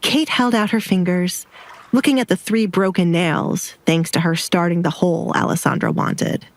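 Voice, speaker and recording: Low-pitched woman narrating a story. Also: steady tone, moderate pace. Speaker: audiobook narrator. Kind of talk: scripted dramatic story narration.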